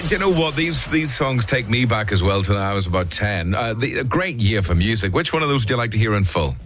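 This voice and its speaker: A man's voice: on the low side, animated, fast talker, fairly bright tone, soft background music. A jovial, chatty man presenting a morning radio show and talking with a caller. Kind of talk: breakfast radio chat.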